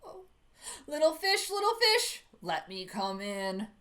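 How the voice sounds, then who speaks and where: A woman voicing a menacing big bad shark in a children's storybook, with roaring, drawn-out delivery. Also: high-pitched, sing-song, sharp tone, exaggerated voice.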